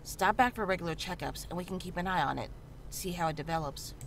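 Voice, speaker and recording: A woman playing a calm, frank town healer in a horror visual novel, speaking in a measured, reassuring way. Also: lowish voice. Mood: reassuring, practical. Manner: measured, matter-of-fact, reassuring. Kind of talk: visual novel character dialogue. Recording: clearly audible background noise, ambience.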